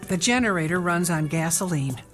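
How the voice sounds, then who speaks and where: A woman playing a calm, practical, self-reliant middle-aged mother in an audio drama. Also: on the low side, fairly quick, soft background music, lightly acted. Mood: practical, reassuring.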